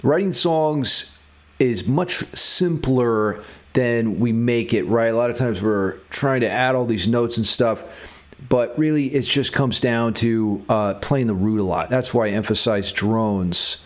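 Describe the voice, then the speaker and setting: A man's voice: mid-range voice, measured pace. A man giving a casual, informal music theory lesson as a guitar instructor, thinking aloud as he demonstrates. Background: electric guitar playing.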